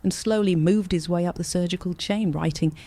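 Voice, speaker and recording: A woman's voice: lowish voice, a little fast, dark tone. A woman acting as a cynical, scornful character in an audio drama, blunt and dismissive.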